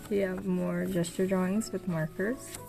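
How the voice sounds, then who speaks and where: A woman's voice: on the low side, fairly even intonation, unhurried, gravelly. A young woman touring her old sketchbooks in a casual, hesitant way, full of fillers, her voice getting tired. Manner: soft-spoken, hesitant, lots of fillers. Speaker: art vlogger. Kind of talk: casual sketchbook tour narration. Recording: voice over background game music.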